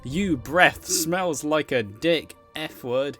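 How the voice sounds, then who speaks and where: A man's voice: fairly high pitch, drawn-out words, pushed and bright. A man hosting a casual, rambling solo show, swearing freely and joking at his own expense with a giggly manner. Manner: sweary, self-deprecating, giggly. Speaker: host, streamer and content creator. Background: background music.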